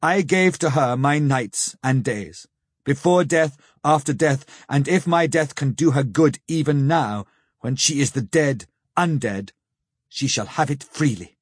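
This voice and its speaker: A man voicing an old male professor who speaks gravely, sternly and with pity, in slow, solemn, pleading phrases for an audiobook. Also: medium pitch, no room sound, clean studio sound, close to an everyday voice. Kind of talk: audiobook character reading.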